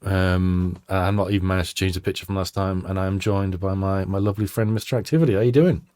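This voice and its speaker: A man's voice: low voice, fairly even intonation, fast talker, darkish tone, clear, resonant tone, no echo. A man hosting a casual podcast, chatty and self-deprecating, a little stuffy from a cold. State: slightly stuffy nose from a cold. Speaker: podcast host. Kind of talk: casual chat.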